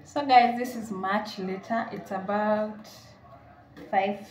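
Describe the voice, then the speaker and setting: A woman's voice: medium pitch, fairly even intonation, slow pace, slight background noise. A young woman with a Kenyan accent, casually vlogging about her day at home and mixing in Swahili phrases. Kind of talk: casual vlog narration. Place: home kitchen.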